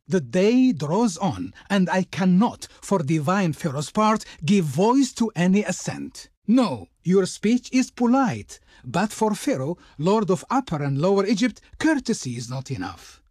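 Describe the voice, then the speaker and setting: A male actor playing a pompous, fawning Egyptian envoy in a video game, speaking ceremoniously with reverent pauses, as if declaiming for his Pharaoh. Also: high voice, dry recording, clean studio sound, exaggerated voice. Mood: polite refusal. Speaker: video game diplomat character. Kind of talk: theatrical video game voice acting.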